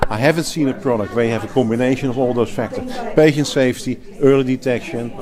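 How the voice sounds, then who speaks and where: A man's voice: medium pitch, fast. Dutch-accented man interviewed amid a crowd. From Netherlands. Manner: animated, emphatic, restarts often, laughs easily. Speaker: healthcare company executive and clinician.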